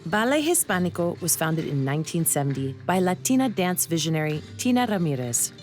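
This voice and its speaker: Woman, show host, scripted voice-over, slightly low, lively intonation, informative. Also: a little fast, faint music behind. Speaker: travel and dance show host.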